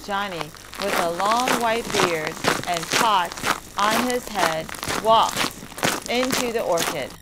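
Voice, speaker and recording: A woman narrating a story lesson for English learners, reading deliberately word by word. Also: medium pitch. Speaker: teacher narrator. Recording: heavy background noise, clicks.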